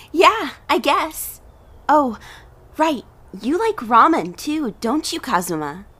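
A woman playing a cheerful, nosy high-school teenager with hidden worries in a manga dub, with a husky voice. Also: very animated, slow pace, bright, forceful tone, exaggerated voice.

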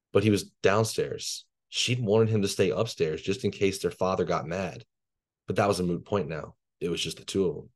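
A man in his thirties from Louisiana, reading his horror fiction aloud in a measured way, then answering questions casually on a video call from a bedroom. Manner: low, measured, even reading voice. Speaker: guest author. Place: bedroom at home. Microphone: video call laptop camera.

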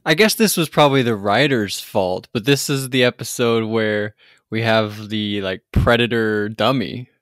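A man's voice: lowish voice, normal speed, fairly bright tone, dry recording. A man co-hosting a podcast, chatting casually with a dry, teasing manner. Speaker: podcast co-host.

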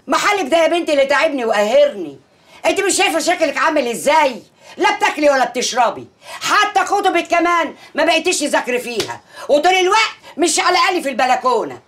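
A woman's voice: sits high, big pitch swings, quick pace, fairly bright tone. An actress playing a worried, scolding middle-aged mother who nags reproachfully in an Egyptian Arabic accent. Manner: nagging, reproachful.

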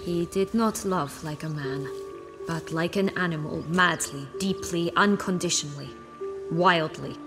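A proud, cynical noblewoman with a dark past, from a fantasy game. Her voice sits fairly low, measured and sardonic, with music clearly behind it. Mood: wistful confession. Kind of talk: fantasy video game acting.

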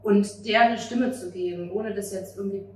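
A woman's voice: mid-range voice, fairly even intonation, moderate pace, a little mellow. A woman in her thirties from Germany gives earnest interview answers into a handheld mic held across the table. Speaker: playwright and actress being interviewed. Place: outdoor café table on a street at night. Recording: roomy, distant mic, street-side ambient noise.

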